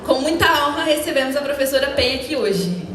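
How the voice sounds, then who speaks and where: A woman's voice: high-pitched, animated, fairly quick. A Brazilian woman formally introducing a guest speaker in a welcoming way. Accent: Brazilian Portuguese. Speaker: event presenter. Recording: echoey distant recording, background noise.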